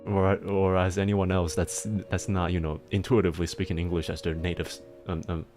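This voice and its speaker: A man livestreaming and chatting casually, explaining things in halting bursts with frequent restarts and laughter, speaking with a mixed accent. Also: low-pitched, flat delivery, over music. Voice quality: self-described mixed accent. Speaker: livestreamer answering chat.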